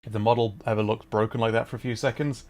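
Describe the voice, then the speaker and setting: A man's voice: on the low side, level tone, fast, darkish tone. A man hosting a game livestream in a casual, chatty and jokey style, lightly self-deprecating. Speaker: livestreamer, host. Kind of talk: casual game stream chat.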